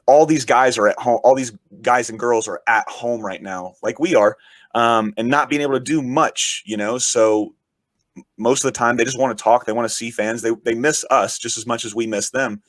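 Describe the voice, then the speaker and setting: A man, a podcast guest who is also a podcast host, talking in rambling, enthusiastic casual chat, his voice raspy from shouting. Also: mid-range voice, fairly even intonation, normal speed, no echo. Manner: rambling, enthusiastic, frequent swearing.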